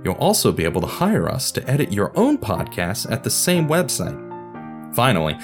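Low-voiced man narrating with lively swings. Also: moderate pace, faint music behind. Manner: wry, informal asides. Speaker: podcast host. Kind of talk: scripted narration on radio history.

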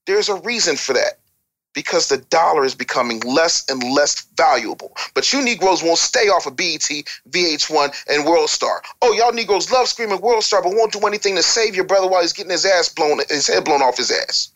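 A man's voice: a little high, lively intonation, fairly quick, fairly bright tone. An American man giving opinionated, unscripted advice as a podcast guest, blunt, profane and scolding.

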